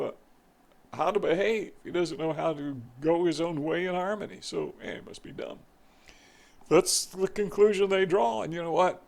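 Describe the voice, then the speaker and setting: A man with a Southern US accent speaks off the cuff in a folksy, wandering way, circling back and repeating himself as he thinks aloud. His voice sits a bit high and has a gravelly rasp. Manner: rambling, folksy, repeats words, frequent fillers. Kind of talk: unscripted monologue to camera.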